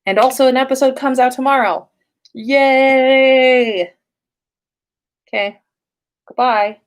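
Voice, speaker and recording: A woman hosting a story livestream in an informal, playful and expressive way. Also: high voice, slow pace. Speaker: podcast host and story reader. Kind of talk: livestream story reading and casual chat.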